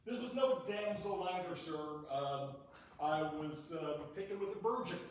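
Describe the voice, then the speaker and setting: A man playing a simple, cheeky country clown in a Shakespearean stage comedy, halting and quibbling as he goes. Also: high voice, lively intonation. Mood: stubborn quibbling. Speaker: amateur stage actor. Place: echoey room, distant mic at live stage reading. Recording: echoey hall from far off, faint noise.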